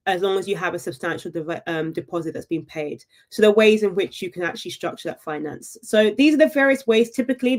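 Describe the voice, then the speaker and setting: A woman hosting a live property webinar, chatty and upbeat, often checking in with her viewers with a quick "right?". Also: medium pitch. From United Kingdom. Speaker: property investment webinar host.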